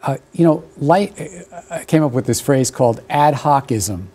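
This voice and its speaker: A man in his sixties, German his first language, speaking at a middle pitch with a warm, dark tone. He thinks aloud, stopping and restarting with many fillers. He is recorded on a lapel mic in a dark television studio. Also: lively intonation, normal speed, husky. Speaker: author and guest. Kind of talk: studio interview conversation.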